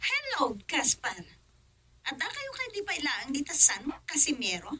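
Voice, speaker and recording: A boss's wife in an Ilocano radio drama, talking to her men as their madam. Her voice is high and piercingly bright, with big swings in pitch and an Ilocano accent. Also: huge pitch swings, a little slow, a little hiss or hum, exaggerated voice. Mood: concerned.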